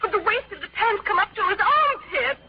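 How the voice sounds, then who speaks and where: A woman's voice: extremely high pitch, lively intonation, fairly quick. A woman playing an anxious, overprotective mother with a fretful, melodramatic manner in a vintage radio drama. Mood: scandalized. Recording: dull, lo-fi vintage radio recording.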